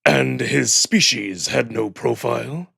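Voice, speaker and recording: Man playing a gravelly furious old wolf emperor. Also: mid-range voice, pushed and bright, no echo, clean studio sound, heavily acted. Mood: stern. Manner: barking, deliberate, imperious. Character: furious old imperial wolf, commanding tyrant.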